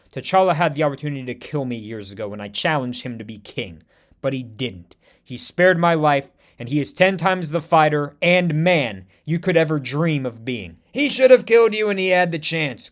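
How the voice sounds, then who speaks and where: A proud, loyal warrior chief, as a man puts him on, defiant and heated as he speaks. The voice runs slightly high for a man. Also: fairly bright tone.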